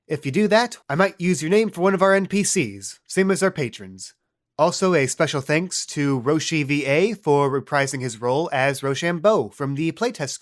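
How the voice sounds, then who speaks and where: Casting: male game master and podcast host, fairly high voice, casual conversational narrator.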